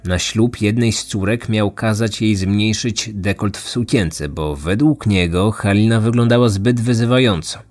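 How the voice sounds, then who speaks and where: Low voiced guy hosting true crime podcast, reading a script. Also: unhurried, few pauses.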